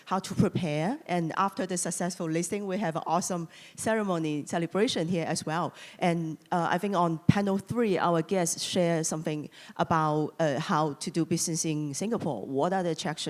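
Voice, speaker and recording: A woman with a somewhat low voice moderates a conference panel. Her speech is conversational and loosely phrased, and a long hall reverb trails behind it. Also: a little mellow.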